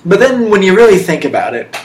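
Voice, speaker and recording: A man's voice: high voice, very animated, fairly quick. A young man hosting a casual podcast, talking in a mock-serious, emphatic way. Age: young adult. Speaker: podcast host. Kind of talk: casual podcast chat with playful monologues. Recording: roomy echo, faint background noise.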